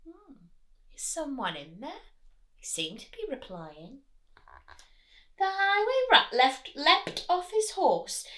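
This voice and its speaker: A woman reading a picture book aloud to young children, expressively, sometimes dropping low and asking the listener questions. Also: slow pace, pauses often. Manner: expressive, drops to whispers, asks the listener questions. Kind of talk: animated read-aloud with chatty asides to young children.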